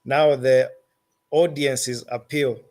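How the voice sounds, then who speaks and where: A man's voice: medium pitch, steady tone, darkish tone. A man with a Zambian accent, a musician answering interview questions in an earnest, reflective way. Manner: earnest, reflective, unhurried with pauses. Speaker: musician guest.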